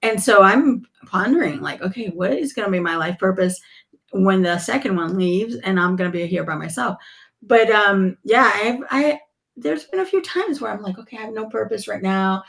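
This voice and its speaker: Creaky-voiced middle-aged woman, native Spanish speaker. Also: mid-range voice, animated, moderate pace, a bit bright. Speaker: podcast guest. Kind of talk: casual video-call chat.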